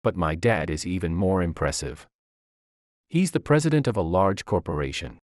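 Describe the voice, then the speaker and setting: A man's voice: sits low, lively intonation, normal speed, no room sound, studio quality. A man voicing a cheerful, innocent and forthright little girl who is proud of her father in a narrated story. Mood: innocently proud.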